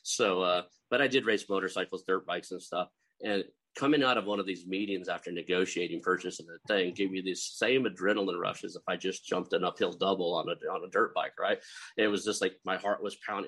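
A man's voice: low-pitched, fairly even intonation, fairly quick, a bit bright, breathy edge. A middle-aged man from Oklahoma with a light Oklahoma accent, hosting a casual interview podcast and rambling with chuckles and fillers. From Oklahoma, USA. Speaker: podcast host.

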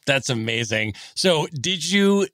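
Bright-voiced enthusiastic male host. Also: on the high side, lively intonation, slow pace, dry recording. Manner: enthusiastic, jokey anecdotes. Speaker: podcast host. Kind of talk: casual interview chat.

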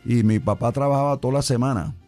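A man raised in New York, a musician guest talking in a relaxed, hesitant way with many fillers. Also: mid-range voice, fast talker, no echo, faint music behind. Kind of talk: conversational interview.

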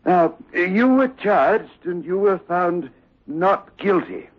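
A man playing a firm, probing sanatorium doctor in a 1960s radio drama, speaking with deliberate authority. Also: high voice, animated, exaggerated voice. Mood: reassuring, insistent. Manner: stern, deliberate, commanding. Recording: muffled, lo-fi old radio recording.

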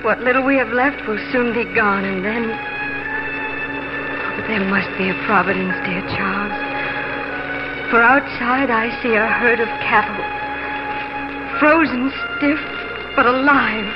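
A woman's voice: fairly high pitch, a little slow, long pauses, natural voice. An actress playing a devoted, easily frightened young pioneer wife and mother in a theatrical, earnest 1940s radio drama style. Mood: anxious, afraid. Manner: earnest, theatrical letter narration. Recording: muffled 1940s radio broadcast with music.

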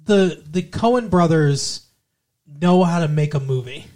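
A man's voice: on the high side, takes it slowly, darkish tone. Man rambling through a movie plot. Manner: rambling, restarts often, explains plot. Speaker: podcast co-host. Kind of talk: casual movie review chat.

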